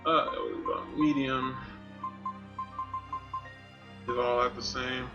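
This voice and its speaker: A man's voice: mid-range voice, little pitch movement, normal speed, muffled recording. Male game streamer, mid-range voice, offhand casual commentary, music playing behind. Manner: offhand, muttering to himself.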